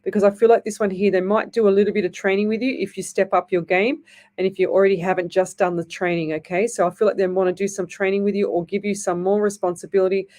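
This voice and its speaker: A woman's voice: fairly low pitch, darkish tone. A woman hosting a live psychic radio show, giving readings in a rambling way with many fillers and restarts. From Australia. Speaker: psychic medium radio host.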